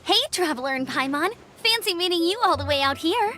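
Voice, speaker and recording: A woman's voice: extremely high pitch, animated, a little fast, loud music behind the voice. A woman playing a friendly, upbeat young scout in a video game, speaking in an earnest way. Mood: cheerful.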